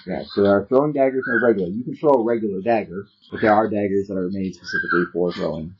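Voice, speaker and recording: A middle-aged or older man with a gravelly voice, patiently explaining things as game master in a casual tabletop game. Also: lowish voice, fast talker. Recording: dull sound with faint background noise.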